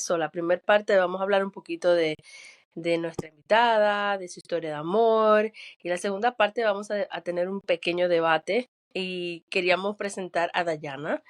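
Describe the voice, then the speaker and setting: Woman co-hosting a chatty podcast. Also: fairly low pitch. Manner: friendly questioning, loose restarts. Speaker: podcast co-host. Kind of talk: casual podcast chat.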